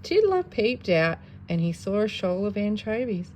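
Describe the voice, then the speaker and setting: Woman, animated bedtime-story reader, sing-song delivery with dramatic pauses, noticeable background noise. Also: mid-range voice. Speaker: parent reading a bedtime story. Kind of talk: expressive children's storybook read-aloud.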